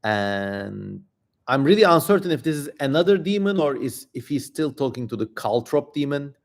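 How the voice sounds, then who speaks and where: A man's voice: medium pitch, animated, normal speed, creaky. A man hosting a livestream, giving irreverent, slangy and theatrical commentary on a dramatic reading. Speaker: livestream host.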